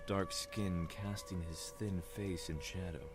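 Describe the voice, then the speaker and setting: Man acting a deep-voiced brooding dream narrator. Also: fairly even intonation, takes it slowly, music in the background. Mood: brooding, solemn. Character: brooding man narrating his haunted recurring desert dreams. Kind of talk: dramatic first-person narration in audio drama.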